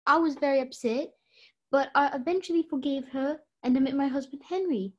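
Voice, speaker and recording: A woman's voice: sits high, brisk, lo-fi sound, close to an everyday voice. A young woman playing an upset, hesitant, defensive party guest in an amateur radio play. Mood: wistful, defensive. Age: young adult.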